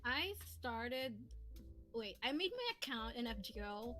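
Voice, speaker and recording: Giggly, high-pitched lively woman streamer. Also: measured pace. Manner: giggly, laughs often while talking. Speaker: streamer, co-host answering chat questions. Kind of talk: casual livestream chat. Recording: music playing behind the voice. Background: background music.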